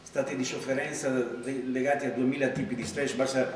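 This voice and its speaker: A man in his fifties giving a reflective talk at a project presentation, pausing often with hesitant fillers. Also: medium pitch, fairly even intonation. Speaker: supervisor speaking at a project presentation. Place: large classroom with rows of chairs. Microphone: far camera. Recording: echoey room from far off, background noise.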